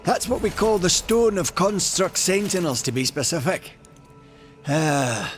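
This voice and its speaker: Earnest stoneworker for a fantasy game, slightly high voice, faint music underneath. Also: male voice. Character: earnest male Maker craftsman, reverent about stonework. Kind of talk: video game character acting.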